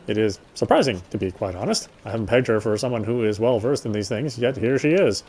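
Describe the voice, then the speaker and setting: A man's voice: lowish voice, lively intonation, a little fast, a little mellow, husky. A man reading a visual novel's narration aloud with casual asides, sometimes stumbling and rereading.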